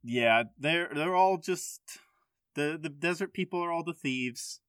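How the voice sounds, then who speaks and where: A man's voice: a little high, measured pace, a bit bright, clear, resonant tone, no echo, clean studio sound. A man speaking frankly and casually as a podcast host, swearing now and then.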